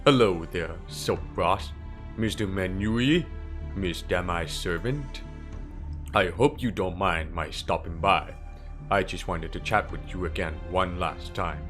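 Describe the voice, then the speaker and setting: A man acts out a sinister priest with a low-pitched voice, formal and unhurried in manner. He is politely menacing and smoothly condescending, in the style of a dramatic game dub, with music playing underneath. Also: a bit bright. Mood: courteous, calm. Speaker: amateur voice impressionist. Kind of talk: dramatic fan dub character acting.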